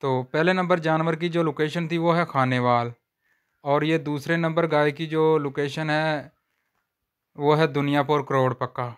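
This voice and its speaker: A man gives a flat, even-toned sales walkthrough of cattle on an outdoor farm. His voice is smooth and clear, and he talks in steady, unhurried patter. He is recorded on a handheld phone. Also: on the high side. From Pakistan. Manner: repeats a stock religious phrase often. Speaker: livestock seller vlogger.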